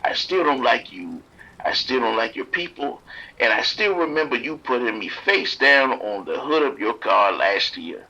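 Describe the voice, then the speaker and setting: An actor playing a streetwise pimp trapped in a motel bathroom, blunt and grudging with the police. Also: male voice, mid-range voice, big pitch swings, moderate pace, bright, forceful tone, muffled recording, full character voice. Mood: bitter. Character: streetwise male pimp hiding from attackers, bitter toward police.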